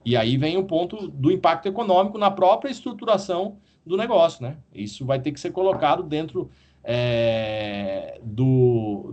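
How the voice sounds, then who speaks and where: A man, a guest professor, explaining at a measured pace in a podcast discussion and often ending his points with a questioning tag. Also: a little high, darkish tone. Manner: measured, explanatory, frequent "né?" tags.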